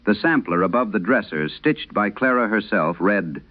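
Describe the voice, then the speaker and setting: A man playing an aging, haunted priest, telling a solemn horror radio monologue with measured, brooding, confessional delivery. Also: lowish voice, lively intonation, phone-quality sound, lightly acted. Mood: grave, haunted.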